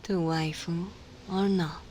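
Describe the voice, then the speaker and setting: Very slow, low, half-whispering ASMR woman. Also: steady tone. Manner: soft, breathy, half-whispered, deliberate. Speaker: ASMR channel host. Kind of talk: soft ASMR channel outro. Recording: faint background noise.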